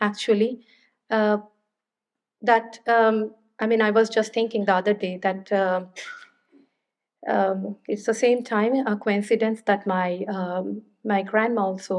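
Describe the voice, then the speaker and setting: A woman speaking in a halting, reflective way, giving a personal and informal tribute. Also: medium pitch, steady tone. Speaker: singer introducing a memorial song. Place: community hall memorial service.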